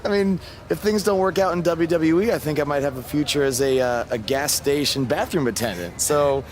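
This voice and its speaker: An actor playing a young, good-natured underdog pro wrestler in a video game story, with a casual, sarcastic delivery. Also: male voice, on the high side, a little fast, faint music behind, lightly acted. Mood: amused, awkward.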